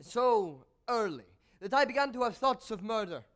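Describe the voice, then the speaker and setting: An actor on a theatre stage playing a jealous, courtly male composer, formal and measured, with bitterness under the politeness. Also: sits high, expressive, fairly bright tone. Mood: bitter, anguished. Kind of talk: theatrical stage acting with dramatic monologue.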